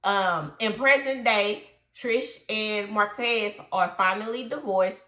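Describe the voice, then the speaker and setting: A woman's voice: medium pitch, slow pace, bright, forceful tone, reverberant, rough recording. Stuffy-nosed woman chatting about reality TV. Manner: chatty, opinionated, loose and informal. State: congested, stuffy nose. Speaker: reality TV recap host. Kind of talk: casual commentary.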